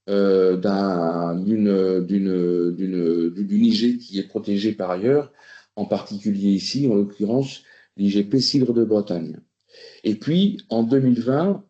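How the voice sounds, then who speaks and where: Man presenting, hesitant with fillers, mellow low voice, roomy muffled recording. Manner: hesitant, many fillers and restarts. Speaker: presenter on food quality labels. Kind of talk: spoken presentation to a committee.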